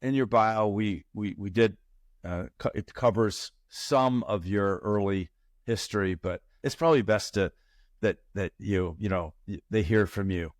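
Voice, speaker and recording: A man's voice: lowish voice, a little slow, no room sound, clean studio sound. A man hosting a podcast interview in casual conversation, stumbling and repeating words as he asks his questions. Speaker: podcast host.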